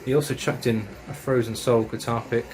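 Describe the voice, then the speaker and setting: A man's voice: medium pitch, steady tone, fairly quick. A man casually reviewing metal records and tapes, enthusiastic and chatty, with slang and swearing.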